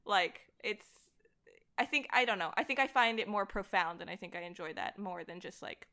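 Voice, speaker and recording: A young woman with a bright, forward voice. She talks casually and animatedly, rambling through her thoughts with lots of "like", as a guest in a relaxed conversation. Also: medium pitch, normal speed. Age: young adult. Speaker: podcast guest, voice actor. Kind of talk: casual conversation about comics.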